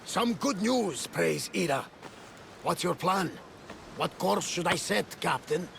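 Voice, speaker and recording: A man playing a loyal, wry older sailor with a gravelly voice and a Greek accent in a video game cutscene. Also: high-pitched, expressive, takes it slowly, fairly bright tone, slight background noise, heavily acted. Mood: loyal, hopeful. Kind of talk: dramatic game cutscene acting.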